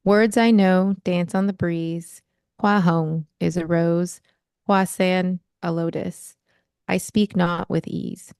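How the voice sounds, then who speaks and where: A woman's voice: lowish voice, fairly even intonation, darkish tone, clean tone, dry recording, clean studio sound. Young American woman reading a poem. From United States. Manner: measured, thoughtful, rhythmic reading. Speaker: author. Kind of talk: poetry reading and interview.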